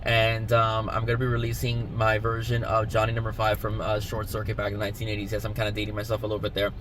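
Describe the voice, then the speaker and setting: A middle-aged man hosting a sports show, speaking casually and haltingly to his viewers. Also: lowish voice, monotone, a bit bright, slight background noise.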